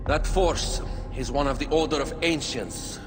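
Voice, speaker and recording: An actor playing a grave older royal adviser in a game cutscene, speaking in a measured, lecturing way. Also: male voice, medium pitch, close to an everyday voice. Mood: solemn. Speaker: queen's adviser. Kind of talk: video game cutscene acting. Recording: reverberant game audio with music.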